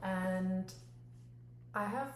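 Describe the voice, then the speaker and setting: A woman's voice: medium pitch, very slow, slight background noise. A woman from Cyprus, a podcast guest telling personal stories in a casual, reflective way, thinking aloud. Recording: roomy, echoing sound.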